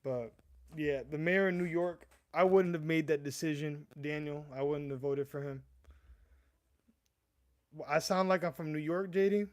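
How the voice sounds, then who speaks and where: A man's voice: on the high side, normal speed. A young man from the US East Coast hosting a casual livestream, rambling with frequent stammers and restarts and calling viewers "bro". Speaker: livestream host. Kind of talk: casual live chat.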